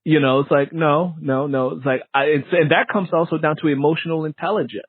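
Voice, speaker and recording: A man's voice: on the high side, fairly even intonation, a little fast, husky, dry recording, phone-quality sound. A man hosting an interview in a casual, conversational way, explaining things and acting out quoted lines as he goes. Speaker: interview host.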